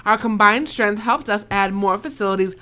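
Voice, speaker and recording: A woman's voice: medium pitch, moderate pace, sharp tone. A woman delivering scripted commercial voice-over narration, as on an advertising reel or radio spot. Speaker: voice-over artist and radio host. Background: music bed behind the voice.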